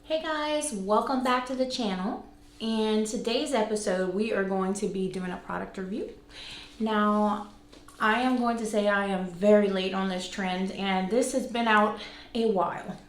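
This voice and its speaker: A woman's voice: medium pitch, measured pace. A woman of about forty chatting casually and informally to viewers as a vlog host reviewing products. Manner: informal, conversational, self-deprecating asides. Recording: echoey room, faint background noise.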